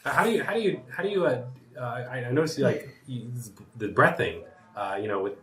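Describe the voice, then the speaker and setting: A player voicing a short, cheerful traveler with a raised voice, asking halting questions in a tabletop game. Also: medium pitch, animated, over music, voice pushed up, natural voice. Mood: curious. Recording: echoey room with chatter.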